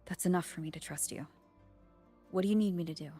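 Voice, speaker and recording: Calm, low, breathy game heroine. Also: female voice, quick pace, soft background music. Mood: resolved. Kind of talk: video game story voice acting.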